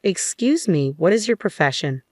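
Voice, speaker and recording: A woman's voice: lowish voice, very animated, normal speed, darkish tone, no echo, studio quality. A woman voicing an arrogant, snobbish male property salesman in a dismissive, mocking manner for a narrated story. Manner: scornful, dismissive.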